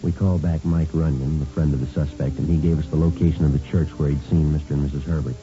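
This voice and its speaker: An actor playing a matter-of-fact, businesslike police sergeant in a vintage radio crime drama, with clipped delivery. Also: male voice, very deep voice, fairly even intonation, fast talker, over loud music. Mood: matter-of-fact narration. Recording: muffled vintage radio recording.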